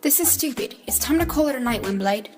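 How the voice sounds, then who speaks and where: Protective female robot bodyguard woman acting, high voice, curt and bossy comic-dub delivery over music. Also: expressive, fast, darkish tone. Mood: impatient. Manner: blunt, bossy. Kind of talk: amateur comic dub dialogue. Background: clear music bed.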